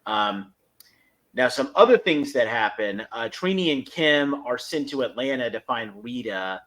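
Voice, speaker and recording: A man's voice: mid-range voice, lively intonation, moderate pace, fairly bright tone. A man hosting a podcast, giving casual review commentary and recapping step by step in a hesitant way. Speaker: podcast host.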